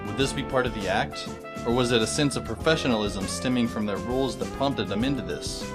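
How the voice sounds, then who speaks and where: A man voices a wry teenage boy narrating an audio drama in a dry, deadpan way. His pitch sits in a middle range. Music plays clearly behind him. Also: fairly quick, close to an everyday voice.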